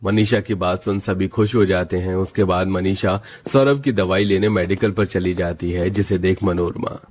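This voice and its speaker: A man's voice: sits low, fairly even intonation, normal speed, a little mellow. A man narrating a scripted moral story as its storyteller.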